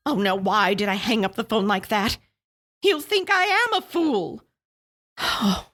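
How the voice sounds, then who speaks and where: A woman playing a nervous, demanding invalid wife in a suspense radio drama, shrill, fretful and indignant. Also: fairly bright tone, heavily acted. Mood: indignant, distraught. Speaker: volunteer actor.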